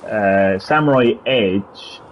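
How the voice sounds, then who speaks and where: A man hosting a casual gaming stream, rambling, laughing often and reading web text aloud haltingly. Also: on the low side, a little hiss or hum. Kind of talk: casual gameplay chat.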